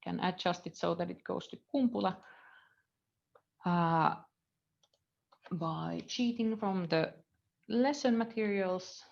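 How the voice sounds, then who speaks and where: A woman with a Finnish accent teaches from her computer, her lowish voice carrying a gravelly fry. She thinks aloud as she codes, trailing off and filling pauses. The sound is dull and lo-fi, like a webcam mic. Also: darkish tone. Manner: thinking aloud, hesitant fillers, trailing off while reading code. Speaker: university lecturer. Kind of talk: live coding screencast lesson. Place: indoor room at a computer.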